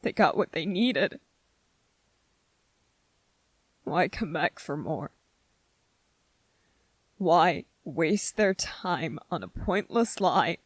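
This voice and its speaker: A woman playing an anxious, gentle, earnest young male soldier in a soft-spoken ASMR drama, speaking haltingly. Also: lowish voice, lively intonation, slightly rough, dry recording, heavily acted. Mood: anxious, brooding. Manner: hesitant, halting, repeating phrases.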